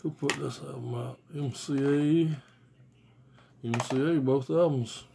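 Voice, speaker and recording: A man's voice: mid-range voice, fairly even intonation, takes it slowly, gravelly. An American man in his forties chatting casually and unscripted to camera as a music fan, blunt and slangy with swearing, recorded at home on a handheld-distance device. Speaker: music fan reviewing albums on video. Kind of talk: casual unscripted vlog chat. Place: small room at home. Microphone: phone or tablet at arm's length. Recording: noisy phone recording with clicks.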